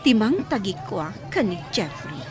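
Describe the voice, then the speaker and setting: An actress playing a jealous, determined young schemer, emotional and defiant, in a radio soap opera. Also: female voice, medium pitch, big pitch swings, moderate pace, faint music behind, some chatter behind, natural voice. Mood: determined, hurt.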